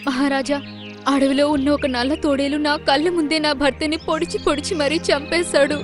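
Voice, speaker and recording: A woman's voice: sits high, flat delivery, brisk, music in the background, close to an everyday voice. A woman voicing a pleading, deferential mother deer in a children's cartoon. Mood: distressed, pleading. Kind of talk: Telugu children's cartoon voice acting.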